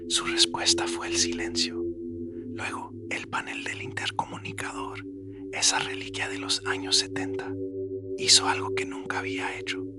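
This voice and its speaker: A man with a whispery, breathy voice narrating a scripted horror story in a suspenseful storytelling manner. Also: deep voice, completely flat delivery, moderate pace. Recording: loud music bed under the voice. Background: loud atmospheric music.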